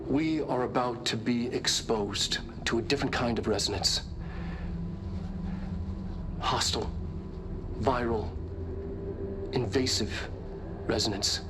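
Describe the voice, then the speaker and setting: An actor playing an earnest research scientist giving a dramatic briefing in a video game, with deliberate phrasing. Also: male voice, close to an everyday voice. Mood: grave. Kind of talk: video game presentation acting. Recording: very loud rumbling background noise.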